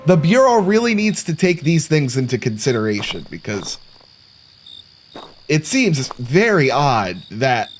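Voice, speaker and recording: A man's voice: mid-range voice, slight background noise, poor audio. A man giving casual let's play commentary on a horror game, sarcastic and jokey as he mutters to himself. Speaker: gaming content creator. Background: horror game sounds of crickets and insects.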